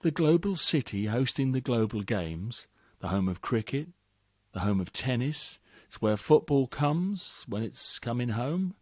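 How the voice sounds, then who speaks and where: A man's voice: mid-range voice, very animated, unhurried, no room sound, studio quality. A man reciting a playful, rhythmic poem aloud, with swooping emphasis on the punchlines. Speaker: reader of a competition entry. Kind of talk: poetry recital.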